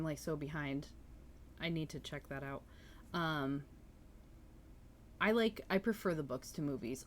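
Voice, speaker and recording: A woman's voice: low voice, slight background noise. A woman chatting casually and enthusiastically as host of a book-reading livestream, responding to viewers as she goes. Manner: chatty, enthusiastic, reacting to chat comments.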